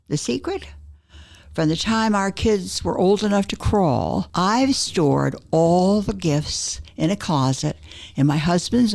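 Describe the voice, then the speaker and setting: A woman's voice: on the low side, gravelly, a little mellow. An older woman reading her own personal essay aloud as a scripted story.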